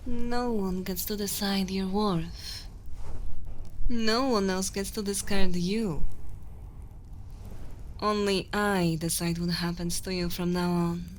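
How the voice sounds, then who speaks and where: A woman acts out an evil queen in an ASMR roleplay, dominant and coldly tender. She speaks extremely slowly and deliberately in a soft, breathy voice that often drops to a whisper, close to the mic. There is light ambient noise behind her. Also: mid-range voice, fairly even intonation, lightly acted. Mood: possessive, protective. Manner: slow, deliberate, commanding, intimate. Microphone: close ASMR microphone.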